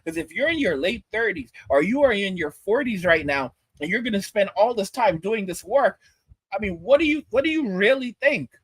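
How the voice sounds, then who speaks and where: Man, 40s, high husky voice, stammering mocking rant. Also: animated, normal speed, a bit bright, no room sound. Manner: repetitive, stammering, mocking asides. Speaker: commentator reacting to a clip. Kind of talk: ranting commentary.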